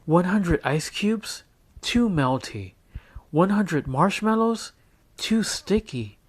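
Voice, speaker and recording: A man voicing a worried young schoolgirl in a storybook read-aloud, with a high, fretful tone. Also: lively intonation, slow, full character voice.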